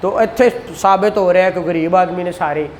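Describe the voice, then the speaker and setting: A man giving a classroom law lecture, explaining in a conversational tone, reading legal text slowly, and acting out courtroom questions. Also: high-pitched, a little hiss or hum, low-quality recording. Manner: explains in Urdu, reads English statute text slowly aloud; acts out courtroom exchanges.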